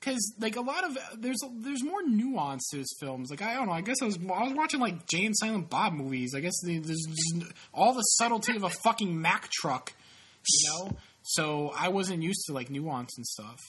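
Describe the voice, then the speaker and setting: A man's voice: sits high, big pitch swings, normal speed, a bit bright, slightly rough. A man in his late twenties with a stuffy, sniffly nose, chatting casually in a rambling, profane, self-mocking way. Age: late 20s. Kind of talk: casual chat.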